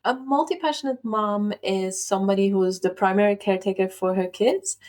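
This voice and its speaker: A young woman from Belgium co-hosting a podcast, speaking softly in casual chat with frequent ums and likes. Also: mid-range voice, a little fast. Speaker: podcast co-host.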